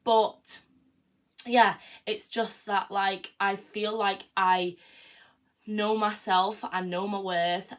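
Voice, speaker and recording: A young woman in her early twenties talks alone on her podcast in a loose, chatty flow. She is frank and upbeat about owning who she is, and her sentences run on with plenty of "like". Her voice sits at an ordinary middle pitch for a woman. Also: a bit bright. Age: early 20s. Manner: chatty, frank and self-affirming, full of "like" and "you know what I mean". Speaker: podcast host. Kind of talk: casual solo podcast monologue.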